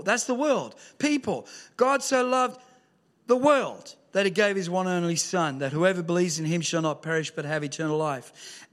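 A man preaching a sermon in a church, mixing scripture with humorous stories and sometimes rising to a shout. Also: high-pitched. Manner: lively storytelling with humour, rising to shouts. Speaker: pastor. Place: church hall with screen.

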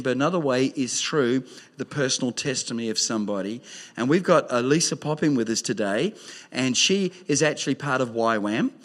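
A man, the pastor, introducing a guest speaker to his congregation at a service in an upbeat, rallying way. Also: mid-range voice. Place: church hall.

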